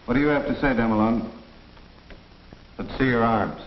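An actor playing a stern middle-aged judge in a 1950s educational film, questioning curtly. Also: male voice, mid-range voice, moderate pace, a little hiss or hum, lightly acted. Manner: curt, commanding questioning. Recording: muffled, echoey old film courtroom scene.

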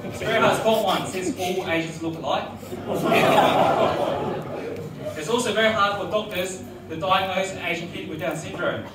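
A young man doing stand-up in a small bar, his voice pitched fairly high and caught from the back of the room. The sound rings around a hard, echoey space, with loud crowd noise and laughter filling the gaps. He sets up jokes deadpan and laughs at his own punchlines. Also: moderate pace, pauses often, recorded from a distance. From Australia. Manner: deadpan one-liners with laughing asides. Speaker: stand-up comedian. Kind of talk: stand-up comedy set. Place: small restaurant bar, live audience. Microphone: handheld mic, filmed from far back.

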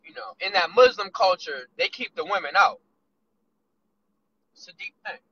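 Man, thirties, casual car monologue, bright tense voice, muffled phone sound. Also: sits high, animated, husky. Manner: loose, musing, drawn-out asides. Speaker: vlogger. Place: inside a parked car. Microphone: phone camera on dashboard. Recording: lo-fi phone audio.

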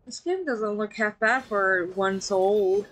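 A woman's voice: mid-range voice, unhurried, fairly bright tone. A woman streaming a video game, chatting casually with friends, laughing often and trailing off with fillers. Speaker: VTuber streamer. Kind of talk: casual gameplay commentary.

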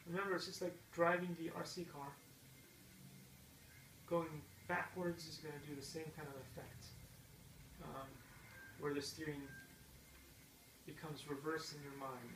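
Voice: medium pitch, level tone, a little slow, long pauses, echoey room. Middle-aged parent coaching a kid at a video game, encouraging, far from the mic, noisy room. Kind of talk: casual chat while watching gameplay. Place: indoor room at home with a TV. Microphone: distant camera mic.

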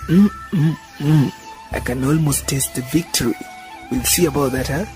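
A man's voice: fairly high pitch, moderate pace, music in the background, lightly acted. A man playing a devoted, playful lover in a radio drama.

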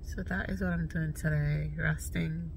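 A woman's voice: low voice, steady tone, unhurried, creaky, recorded from a distance, slight background noise. A woman in her thirties with a stuffy nose, chatting casually to the camera from a parked car. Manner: chatty, rambling, soft-spoken with fillers. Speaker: vlogger. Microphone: phone camera on dashboard.

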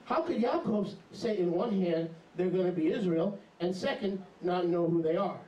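A middle-aged man preaching an animated, emphatic sermon into a handheld mic in a small congregation hall. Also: high-pitched, normal speed, highs cut off. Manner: animated, emphatic, jumps into mocking voices. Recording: echoey hall, camera far off.

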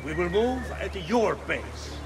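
Brief: fawning Italian noble plotter, video game, highish voice with lively melodic swings, music behind. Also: male voice, slow, heavily acted. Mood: composed. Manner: formal, obsequious. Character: deferential, courtly Venetian nobleman and plotter.

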